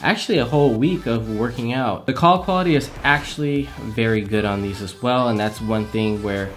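A young man giving a casual product review to camera in a small room at home, relaxed and chatty, repeating words for emphasis. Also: medium pitch, normal speed, faint music behind. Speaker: tech reviewer.

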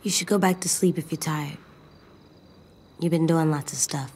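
A woman playing a solemn, stubborn, anxious little boy survivor in a video game drama, speaking in a quiet, earnest, guarded way. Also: low voice, fairly even intonation, normal speed, slight background noise, lightly acted. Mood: subdued, earnest.

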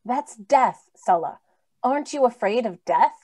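A woman's voice: fairly high pitch, lively intonation, measured pace, mellow, no echo. A woman acting an idealistic, easily indignant lady visitor in an old-time radio drama, earnest and theatrical. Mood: pleading, outraged. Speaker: actor. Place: indoors on a video call.

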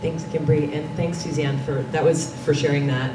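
Female panel presenter, middle-aged, conversational and halting, roomy sound with clear background noise. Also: low voice, fairly even intonation. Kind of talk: informal conference presentation. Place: dim conference meeting room. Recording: distant, echoey, noisy room recording.

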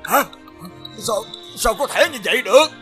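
Brutal cartoon enemy general, high voice, bossy and blustery, swoops all over. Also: male voice, lively intonation, normal speed, pushed and bright, faint music behind, full character voice. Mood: panicked, furious. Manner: blustering, commanding. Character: brutal, boastful enemy general, adult man, easily enraged. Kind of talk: animated fairy-tale voice acting.

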